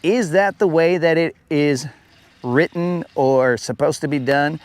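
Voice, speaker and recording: A man hosts a live fishing broadcast from out on a lake. He talks to viewers in a relaxed, rambling way and reads their comments aloud. His voice sits a little higher than most men's. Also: measured pace, dry recording. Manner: casual, chatty, says "bro" a lot, reads viewer comments aloud. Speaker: fishing livestream host. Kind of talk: live stream chat while fishing.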